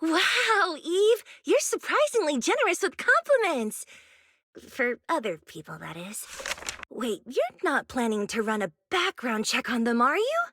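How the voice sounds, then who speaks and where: Very high, animated bubbly young pop star. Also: female voice, a little slow, no echo, full character voice. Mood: playful teasing. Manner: expressive, teasing, girlish, exaggerated reactions. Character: bubbly, playful young female pop star, stubborn and impulsive. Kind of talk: animated video game voice acting.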